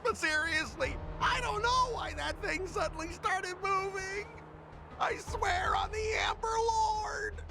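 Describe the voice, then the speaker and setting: Haughty, high-pitched flustered official. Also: male voice, slow, vocal fry, over music, heavily acted. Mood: flustered, defensive. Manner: indignant, theatrical, sputtering. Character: haughty, flustered corporate official man, sarcastic and self-important. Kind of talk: dramatic game voice acting.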